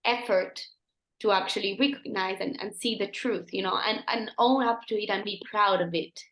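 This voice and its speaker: A woman's voice: mid-range voice, normal speed, a bit bright, roomy sound, poor audio. A teenage woman co-hosting a podcast, chatting casually with frequent "like" and "um", and reading a sonnet aloud. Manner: uses "like" and "um" often. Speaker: podcast co-host. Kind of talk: casual chat and poetry reading.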